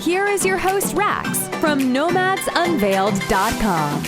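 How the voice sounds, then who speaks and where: A woman reading the show's scripted opening announcement in a slow, lilting way. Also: sits high, big pitch swings. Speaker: show announcer. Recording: music bed underneath.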